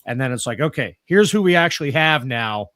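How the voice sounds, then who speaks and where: A man hosting an informal post-show chat, often restarting his words in a halting way. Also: medium pitch, lively intonation, pushed and bright, no room sound, clean studio sound. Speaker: host of a radio play group.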